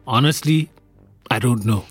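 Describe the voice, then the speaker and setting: A man's voice: mid-range voice, measured pace, slightly rough, no echo, faint music behind. A man with a Nigerian accent playing a reserved, hesitant young office worker in an audio drama. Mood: reluctant. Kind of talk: naturalistic audio drama acting.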